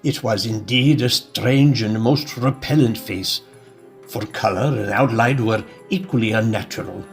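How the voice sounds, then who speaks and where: A man's voice: on the low side, a little slow, faint music behind. A man reading scripted, dramatic story narration.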